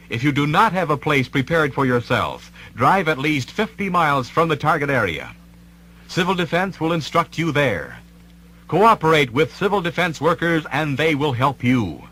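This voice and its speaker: A man reading an official emergency announcement in a vintage civil defense radio style, formal, deliberate and authoritative. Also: a little high, pushed and bright.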